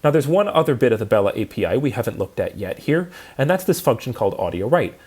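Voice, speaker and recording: Man lecturing with lively intonation. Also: mid-range voice, fast talker, breathy edge. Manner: methodical, explanatory. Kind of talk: instructional programming lecture.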